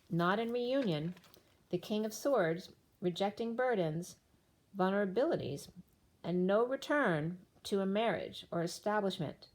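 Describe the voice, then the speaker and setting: A woman doing a spiritual tarot reading, running through cards and numbers in a flowing, incantatory way, her voice wearing thin. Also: medium pitch. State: losing her voice. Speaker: tarot reader.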